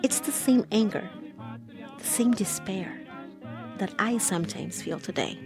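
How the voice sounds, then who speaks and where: A woman's voice: medium pitch, lively intonation, a little slow, over loud music. A woman from the United States narrating a documentary about belonging, in an earnest, reflective way.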